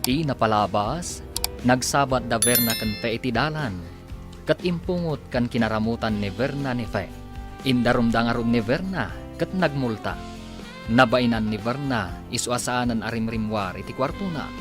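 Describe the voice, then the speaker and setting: A man's voice: medium pitch, normal speed. A man with a Filipino accent, narrating and announcing a scripted radio drama. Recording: music under the voice. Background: music.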